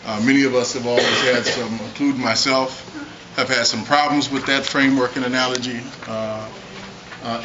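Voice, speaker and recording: Halting male panel moderator, noisy room. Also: mid-range voice. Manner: halting, many filler "uh"s. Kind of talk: casual discussion opening. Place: meeting room. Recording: dull noisy recording.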